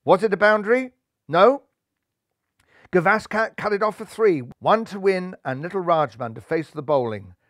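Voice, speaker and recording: High-voiced man telling a story theatrically. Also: animated, normal speed, fairly bright tone, no echo, clean studio sound. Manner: theatrical, suspenseful. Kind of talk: dramatic scripted storybook narration.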